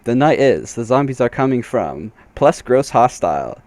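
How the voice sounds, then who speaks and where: A man acts out a young male guide from a comic fantasy tale. He explains everything like a know-it-all, matter-of-factly. His pitch moves a lot and the sound is dry. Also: big pitch swings, moderate pace, a little mellow, no room sound. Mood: instructive. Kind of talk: comedic storybook character reading.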